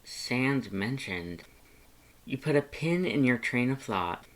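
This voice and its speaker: Casting: story narrator for second-person fan fiction audiobooks, medium pitch. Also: animated, moderate pace.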